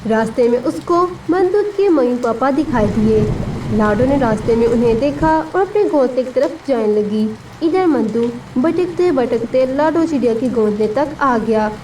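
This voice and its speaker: A woman's voice: high-pitched, normal speed. A woman narrating a scripted story for children. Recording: distant voice with steady rain noise.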